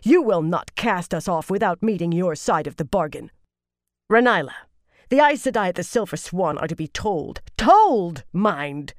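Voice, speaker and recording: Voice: mid-range voice, dry recording, clean studio sound, pitched above the performer's own voice, natural voice. A narrator voicing a proud, imperious seafaring woman with a haughty, snapping manner in an audiobook.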